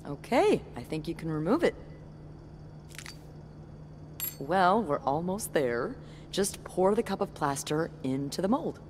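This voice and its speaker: A woman's voice: fairly low pitch, sing-song, normal speed, darkish tone, a little hiss or hum. An actress voicing a wry, playful, self-assured young woman with a teasing manner in a naturalistic video game scene. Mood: encouraging, wry.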